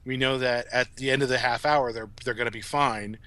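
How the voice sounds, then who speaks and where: A middle-aged man with a bright, forward voice talks as a podcast guest. The sound is dry, with no room around it, and his delivery is casual and reflective. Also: medium pitch, fairly even intonation, fairly quick, dry recording.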